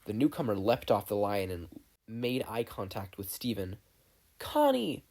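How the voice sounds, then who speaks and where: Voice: deep voice, normal speed, a little mellow. A narrator reading a scripted story aloud as a podfic reader.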